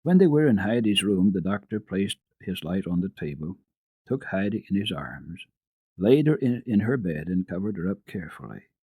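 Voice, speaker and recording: A man reads a children's classic aloud as a dramatic radio story. His voice is husky and a little low, with deliberate, expressive phrasing. The sound is dry and close. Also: no room sound, narrow, tinny audio.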